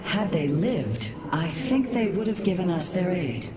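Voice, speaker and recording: Proud, low-voiced alien matriarch. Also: female voice, big pitch swings, unhurried, a loud crowd. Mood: reflective. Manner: dry, haughty, formal. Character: proud, wry female alien matriarch, coolly dignified. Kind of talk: dramatic sci-fi game acting.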